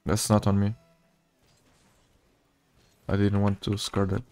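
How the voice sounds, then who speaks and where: Mellow, flat Portuguese-accented man. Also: lowish voice, slow, no echo, faint music behind. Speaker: gaming video creator. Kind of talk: casual gameplay commentary.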